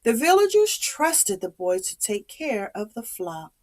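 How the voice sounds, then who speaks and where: A woman telling a story to young children in a dramatic, expressive, deliberate way. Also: fairly high pitch, a little hiss or hum.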